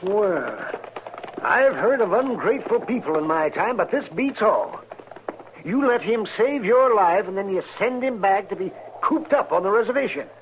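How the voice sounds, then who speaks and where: An actor playing a crusty but good-hearted frontier doctor in a 1950s radio western, folksy, animated and prone to exclaiming. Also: male voice, sits high, normal speed. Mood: indignant. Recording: dull, noisy old radio recording.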